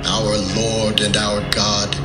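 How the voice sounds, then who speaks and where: Slow, solemn king. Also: lowish voice, completely flat delivery. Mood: reverent, grateful. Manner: solemn, measured, reverent. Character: devout, solemn warrior king reciting a song of praise. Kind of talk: dramatised audio Bible acting. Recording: roomy sound with loud music underneath.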